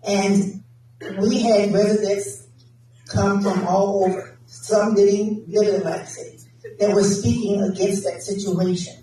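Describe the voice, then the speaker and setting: A council member answers a forum question plainly and conversationally. The voice is mellow and flat, heard from a distance in an echoing hall. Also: mid-range voice, a little slow. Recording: echoey hall, distant mic, faint noise.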